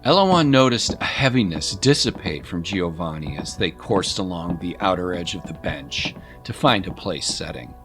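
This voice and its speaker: A male audiobook narrator reads dramatic fiction. His voice sits low and moves with lively intonation, with faint music underneath. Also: moderate pace, few pauses. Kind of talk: dramatic scripted story narration.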